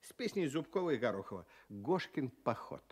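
A man's voice: mid-range voice, sing-song, a little fast, natural voice. A man playing an amused, chiding mentor in a children's radio comedy sketch, speaking in an instructive, theatrical way and repeating his words. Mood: brisk, instructive. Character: amused, chiding older male mentor in hiking gear. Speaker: radio show presenter.

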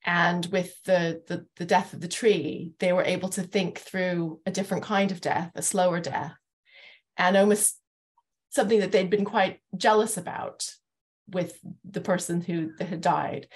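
A middle-aged woman hosting an online panel discussion, speaking in a halting, thoughtful way over a video call. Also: medium pitch. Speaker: host and moderator. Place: home room, indoors.

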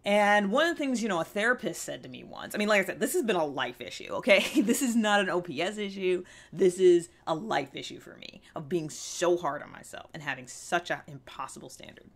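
A woman's voice: on the low side, lively intonation, a little fast, vocal fry, hardly any pauses. A woman in her thirties giving a candid self-help talk to camera, earnest and emphatic, often checking in with the listener. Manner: earnest, conversational, emphatic with frequent check-in questions. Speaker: vlog host. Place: small indoor room with fabric backdrop.

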